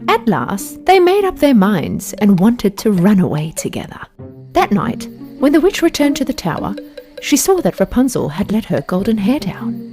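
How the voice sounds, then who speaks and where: A woman reading a children's fairy tale in an expressive, measured storybook manner. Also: mid-range voice, soft background music.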